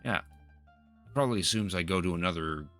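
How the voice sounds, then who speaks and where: A man streaming a video game with casual commentary, halting and repeating his phrases as he talks half to himself. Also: low voice, a bit bright. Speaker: game livestreamer. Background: game music.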